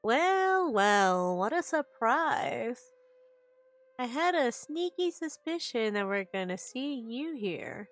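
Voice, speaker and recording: A woman's voice: on the high side, sing-song, takes it slowly, faint music behind. A woman streaming a video game, giving casual, chatty and playful live commentary. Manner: playful, chatty, reacts aloud.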